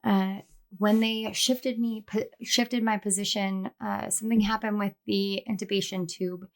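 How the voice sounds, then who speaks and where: Chatty woman with a scratchy, soft voice. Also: mid-range voice, fairly even intonation, measured pace. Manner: chatty and affectionate, many fillers. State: scratchy, soft after throat strain. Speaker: tarot reader. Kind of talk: casual spiritual vlog chat. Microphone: close to the microphone.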